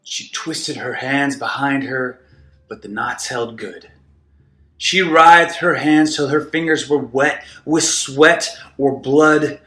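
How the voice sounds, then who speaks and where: A man's voice: medium pitch, slow, sharp tone, soft background music. A man reading a poem dramatically in an echoey room. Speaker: poem reader.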